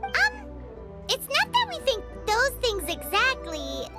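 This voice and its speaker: Squeaky high fairy girl, whiny, bouncy, third-person talk, loud game music behind. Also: huge pitch swings, slow pace, exaggerated voice. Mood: explaining. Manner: refers to herself in third person, exclaims often. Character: small floating female fairy companion, chatty and whiny.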